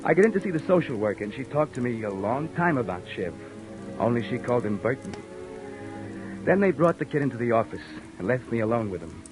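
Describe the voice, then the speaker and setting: A man playing a gruff but decent beat cop who talks in streetwise slang and narrates his story, in 1940s radio acting style. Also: medium pitch, very animated, fairly quick, over music, lightly acted, low-quality recording. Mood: narrating. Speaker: police officer and narrator.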